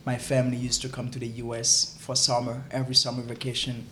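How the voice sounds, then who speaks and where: A young man from Haiti, a guest in a casual interview, who talks haltingly and often repeats words while he looks for them. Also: medium pitch, completely flat delivery, pushed and bright, a little hiss or hum.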